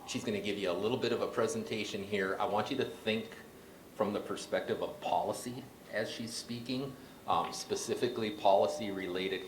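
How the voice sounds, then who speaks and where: A man, a county health official, introducing a speaker at a meeting in a direct, instructive way. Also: sits low. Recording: echoey room with background hiss.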